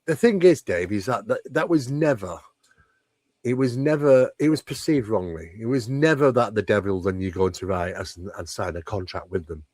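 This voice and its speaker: A man's voice: lowish voice, normal speed, a little mellow, no room sound, clean studio sound. A man speaking as an interview guest, explaining conversationally and emphatically, with rhetorical questions and repeated phrases. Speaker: interview guest, paranormal researcher.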